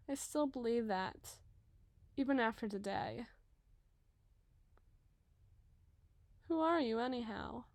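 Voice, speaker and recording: A sweet, dramatic young giantess , played by a woman, speaks in a high, girlish voice. Her delivery is chatty and openly emotive, in the manner of an audio roleplay. The sound is very dry, with no room around it. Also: a little mellow, dry recording, natural voice. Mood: soft, grateful. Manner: emotive, chatty, halting when flustered.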